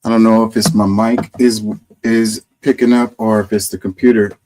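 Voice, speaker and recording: A man's voice: on the low side, flat delivery, low-quality recording. A man hosting, reading an earnest civic voice-over and chatting casually with his audience, saying "y'all". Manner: earnest and measured in narration, loud and chatty when live. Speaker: host. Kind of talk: scripted voice-over narration and casual live talk.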